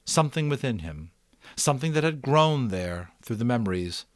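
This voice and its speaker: A middle-aged man who reads and hosts an audiobook in an expressive, earnest way, with casual commentary. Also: medium pitch, moderate pace, dry recording. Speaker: audiobook reader and host. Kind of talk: dramatic book narration with casual commentary.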